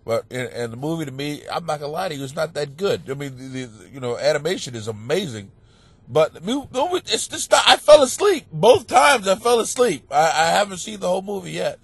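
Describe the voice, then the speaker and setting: A man's voice: a little high, brisk, husky, no room sound. Stuffed-up man ranting with big swings. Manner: animated, swearing, bursts into shouting. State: stuffed-up nose. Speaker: stand-up comic and podcast host. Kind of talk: profane comedic rant monologue.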